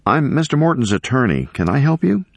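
A polite young lawyer, played by a male narrator, speaks with measured courtesy. His questions rise and fall in a lively way, as in an audiobook drama. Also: medium pitch, creaky, breathy edge, no room sound, dull audio, voice pushed up, heavily acted. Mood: polite, concerned. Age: young adult. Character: polite young male attorney keeping calm.

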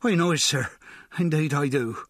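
A man's voice: normal speed, fairly bright tone, dry recording. A male narrator voicing a nervous, sweating male street informant who pleads and fawns in a raised voice, recorded dry and close. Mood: pleading. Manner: pleading, servile, higher put-on voice.

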